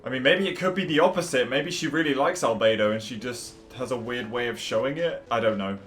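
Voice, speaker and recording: A man giving casual, expressive commentary on a video game, musing aloud and reacting. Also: medium pitch, brisk. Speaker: gaming video creator. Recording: game music behind the voice.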